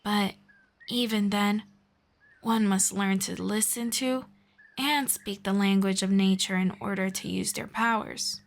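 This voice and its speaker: A woman voicing a gentle, patient female elf mentor in an ASMR roleplay, speaking softly and slowly and slipping into whispers. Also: medium pitch, fairly even intonation, creaky. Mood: gentle, soothing. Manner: soft-spoken, slow and patient, drifting into whispers. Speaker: voice actor.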